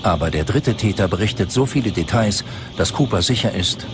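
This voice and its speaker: A man's voice: on the low side, lively intonation, a little fast, background noise. A man reading scripted documentary narration as the narrator. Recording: loud music under the voice. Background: loud music.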